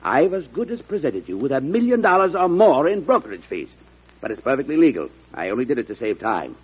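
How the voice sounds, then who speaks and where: An actor playing a vain, bossy, defensive government war secretary with a pompous, imperious delivery in an old-time radio drama. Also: male voice, mid-range voice, big pitch swings, fairly quick, breathy edge. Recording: dull old radio broadcast recording.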